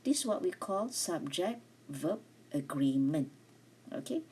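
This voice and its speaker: A woman teaching beginner French over slides, speaking English with a Malaysian accent, slowly repeating and modelling each phrase. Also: fairly low pitch, sing-song, mellow, slight background noise. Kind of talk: recorded lecture over slides.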